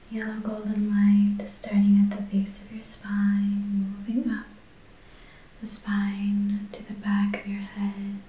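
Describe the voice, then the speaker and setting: A woman softly guiding an ASMR healing session in a breathy, whispery voice, gentle and soothing. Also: mid-range voice, reverberant, slight background noise, poor audio. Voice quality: breathy, whispery, soft-spoken. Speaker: ASMR Reiki practitioner and host. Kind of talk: soft-spoken ASMR guided healing session.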